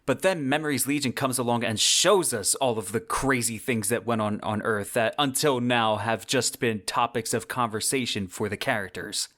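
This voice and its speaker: A man's voice: mid-range voice, a bit bright. Conversational male podcast host giving commentary. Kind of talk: semi-scripted book commentary monologue.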